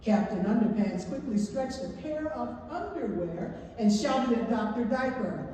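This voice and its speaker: A woman giving an animated staged reading of a children's book, recorded from far off in an echoey theatre. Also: fairly high pitch, unhurried, slight background noise. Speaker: stage reader.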